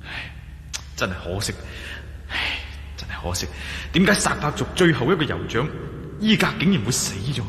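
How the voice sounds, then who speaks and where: An actor with a Hong Kong Cantonese accent playing a determined, brooding male adventurer in a radio drama, expressive and given to musing aloud. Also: mid-range voice, normal speed, slightly rough, heavily acted.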